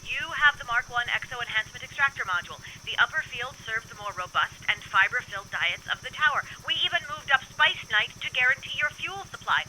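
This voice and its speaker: An actress playing a brisk, controlling scientist in a radio drama, precise and officious. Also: female voice, a little high, animated, background noise, natural voice. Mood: firm, impatient.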